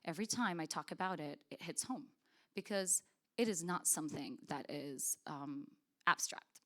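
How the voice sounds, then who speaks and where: Earnest woman speaker, low voice. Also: moderate pace, fairly bright tone. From Lebanon. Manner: earnest, emphatic, frequent restarts and fillers. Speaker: conference speaker. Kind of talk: conference presentation. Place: conference hall.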